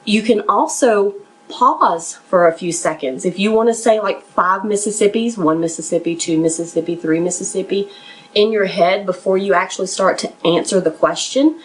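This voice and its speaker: Woman podcast coach giving practical tips, medium pitch, chatty and encouraging, a little nervous. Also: normal speed, rough recording. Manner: somewhat nervous. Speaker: professional resume writer and interview coach hosting a podcast. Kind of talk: conversational instructional talk.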